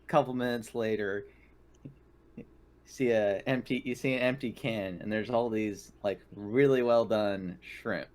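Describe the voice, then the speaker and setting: A man's voice: fairly low pitch, steady tone, measured pace, a little mellow. A man playing a tabletop roleplaying game, chatting and joking casually as he describes what his character does.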